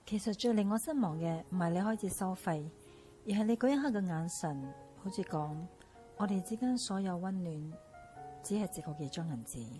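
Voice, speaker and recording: A woman with a Hong Kong Cantonese accent acting a sincere, wistful former client in a radio play, speaking in a deliberate, reflective way. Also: lowish voice, mellow, faint music behind, lightly acted. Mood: hurt. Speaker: actress in a stage radio drama.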